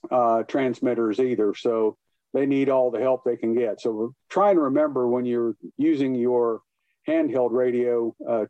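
A man speaking as a guest expert, explaining things informally with a halting, hesitant delivery. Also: medium pitch. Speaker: guest expert, boating safety educator. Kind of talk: informal explanatory interview talk. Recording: muffled, roomy, echoey sound.

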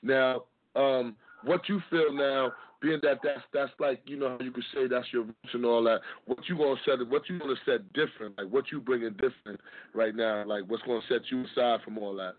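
A man's voice: mid-range voice, steady tone, a little fast, narrow, tinny audio. A man from Harlem hosting an interview, laid-back and affirming, speaking in street slang. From Harlem, New York. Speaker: interviewer host. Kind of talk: casual interview chat.

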